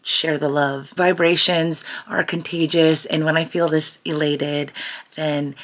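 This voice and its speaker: A woman with an American accent and a low-pitched voice that is raspy from a lot of singing. She talks like a vlogger in an upbeat, effusive, chatty way, addressing her viewers casually. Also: flat delivery, slow pace.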